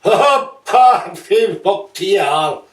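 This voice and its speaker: Male verse storyteller. Slow, theatrical delivery, recorded at a distance in a roomy space. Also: sits high, lively intonation. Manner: lilting, theatrical, measured storytelling. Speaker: poetry reader. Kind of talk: dramatic storybook reading of rhyming comic verse. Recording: roomy echo, distant from mic.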